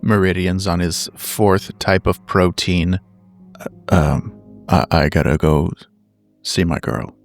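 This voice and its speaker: A man's voice: low voice, steady tone, takes it slowly, faint music behind. A man playing a tabletop roleplaying game with casual in-character banter.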